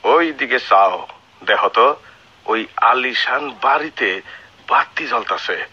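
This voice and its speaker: A grumbling, bossy compounder in a radio play, with a piercing, forceful voice that swings up and down. He nags bluntly in rural eastern Bangladeshi dialect, on a muffled old recording. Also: mid-range voice, lively intonation, slow pace, husky, full character voice. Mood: bossy, nagging. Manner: blunt, nagging, colloquial. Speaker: radio drama actor. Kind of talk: 1980s Bengali radio play acting.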